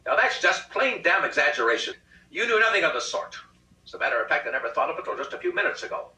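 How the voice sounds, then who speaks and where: A man's voice: fairly high pitch, big pitch swings, fast talker, bright, forceful tone, voice pushed up, exaggerated voice. A man playing a peevish, childishly insistent husband in a comic short-story reading, pleading and exasperated with heavy emphasis. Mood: indignant. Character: peevish, childishly insistent middle-class husband plotting murder. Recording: roomy, distant rehearsal recording.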